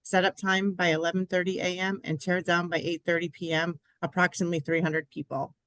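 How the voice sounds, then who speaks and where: A woman on a committee reading municipal agenda items aloud in a steady, formal way. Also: on the low side, a little fast, no room sound, low-quality recording.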